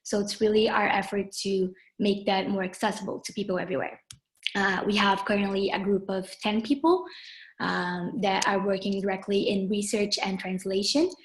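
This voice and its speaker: Young woman from Brazil, native Portuguese speaker, moderating a video webinar; middle pitch, friendly, filler-heavy delivery. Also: fairly even intonation, rough recording. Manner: friendly, many ums and uhs, slows on key phrases. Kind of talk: online webinar introduction. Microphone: video call.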